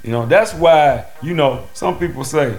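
A man's voice: on the high side, moderate pace, darkish tone. Blunt man talking over music. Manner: blunt, colloquial, emphatic. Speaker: musician and record label member. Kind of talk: candid interview talk.

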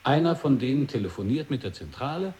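A man's voice: mid-range voice, animated, fast talker, a little mellow, slight background noise, lightly acted. An actor playing a dry, boastful man who tells absurd tales in colloquial, matter-of-fact dialogue for a radio play. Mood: explaining calmly.

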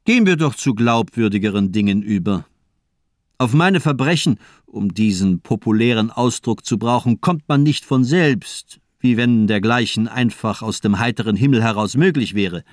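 A man's voice: on the low side, no room sound, clean studio sound, natural voice. An actor playing a murderous, pedantic doctor in a radio crime drama, precise, formal and mocking in his lecturing. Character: cold, amused villain doctor, murderous and pedantic.

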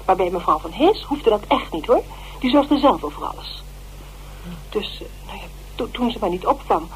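Prim older theatre dresser played by an actress. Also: female voice, mid-range voice, animated, normal speed, a little hiss or hum, lightly acted, poor audio. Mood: indignant. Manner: hesitant, mournful, disapproving. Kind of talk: radio drama acting.

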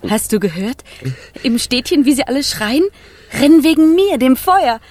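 An actress in a children's radio play voicing a young, wild and devoted fire spirit, expressive, urgent and childlike. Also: female voice, high voice, normal speed, no room sound. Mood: excited.

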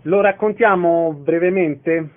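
A man's voice: on the high side, slow. A man hosting a radio interview, presenting guests and events, heard in narrow phone-like sound. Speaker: radio host.

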